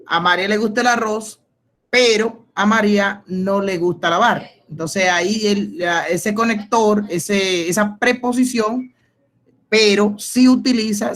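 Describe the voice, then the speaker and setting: A man with a Dominican accent gives an informal, halting explanation as a student in an online class, often repeating and rephrasing. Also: high voice, fairly bright tone. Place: video conference call.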